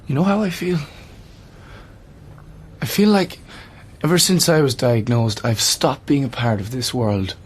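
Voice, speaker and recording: A woman's voice: extremely low pitch, unhurried, pauses often, darkish tone, breathy edge, heavily acted. A woman acting in a radio play as a bitter, despairing, seriously ill young man, with an intense delivery. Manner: intense, embittered.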